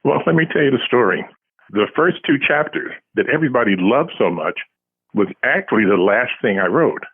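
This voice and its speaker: A man's voice: medium pitch, expressive, a little fast, slightly rough. A man answering as an interviewed author, telling casual anecdotes with dry, self-deprecating humour. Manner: self-deprecating, dryly humorous. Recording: tinny phone-like line.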